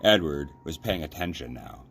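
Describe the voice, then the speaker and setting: A man reading a children's story aloud as an expressive narrator, like a librarian at story time. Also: extremely low pitch, moderate pace, gravelly, breathy edge, soft background music.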